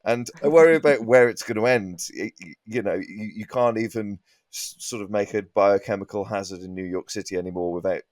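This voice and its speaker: Low-voiced, halting comedian, close and dry. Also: male voice, darkish tone, dry recording. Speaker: comedian, podcast guest. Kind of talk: comic ranting in casual panel chat.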